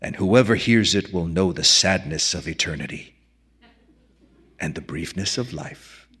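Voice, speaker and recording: A solemn, lonely figure out of a storybook legend, played by a male reader. The voice is low and husky, drawing phrases out very slowly like a mournful incantation. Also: expressive, a bit bright, exaggerated voice.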